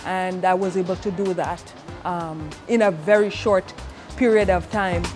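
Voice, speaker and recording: A woman's voice: on the low side, steady tone, unhurried, a little mellow. A woman in a testimonial interview, speaking earnestly and steadily about joining a trades program, with an occasional "um". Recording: music playing underneath.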